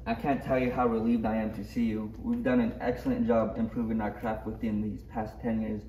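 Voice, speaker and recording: A man playing a polite, halting alien cloner with a salesman's pride, in a parody table read. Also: lowish voice, flat delivery, highs cut off, lightly acted. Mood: polite, eager. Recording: echoey room, distant mic, faint noise.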